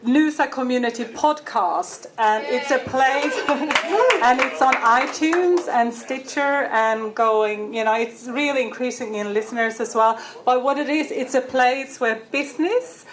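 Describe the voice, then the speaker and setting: A woman with a slightly high voice gives a short pitch to a live audience at a networking evening. She sounds friendly and laughs easily. Her English flows, but now and then she stops to find the right word. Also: slight background noise. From Sweden. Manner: friendly, laughs often, searches for words. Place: event room with an audience.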